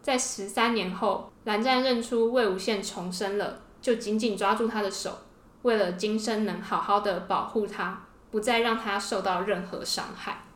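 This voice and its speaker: A woman's voice: mid-range voice, steady tone, normal speed, a bit bright. Echoey-room Taiwanese woman chatting expressively. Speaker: podcast co-host. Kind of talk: casual panel chat about fiction. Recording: roomy, echoey sound.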